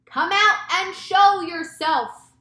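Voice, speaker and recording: A blustering male police chief from a children's storybook, voiced by a woman, bellows in panic. The shouts are pitched high and stretched out slowly. The words come loud and commanding. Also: roomy sound, full character voice.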